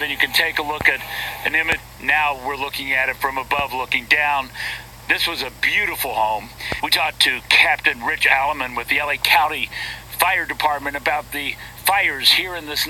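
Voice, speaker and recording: A man's voice: a little high, pushed and bright. An American man reporting live from outdoors and interviewing, as a field news reporter. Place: outdoors at a neighborhood scene. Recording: slightly distant outdoor broadcast, faint vehicle noise.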